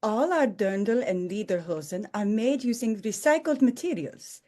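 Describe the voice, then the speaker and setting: A woman's voice: medium pitch, animated, normal speed, mellow, close to an everyday voice. A woman playing a sweet, wholesome Austrian widow in her seventies who keeps a shop, in a romcom table read. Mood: proud, fond.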